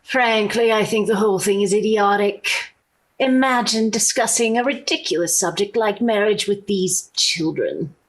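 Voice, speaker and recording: A woman's voice: mid-range voice, moderate pace, natural voice, low-quality recording. Actress as haughty, domineering radio-comedy mother. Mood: indignant. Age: middle-aged. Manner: formal, precise, withering. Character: haughty, disapproving society mother and domineering wife. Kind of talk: 1940s radio sitcom acting.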